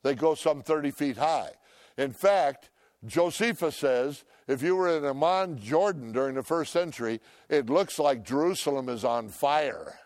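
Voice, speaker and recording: An older man preaching a sermon, loud and emphatic, swinging between shouts and hushed asides. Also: fairly high pitch, expressive, a little slow, no room sound. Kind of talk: impassioned sermon.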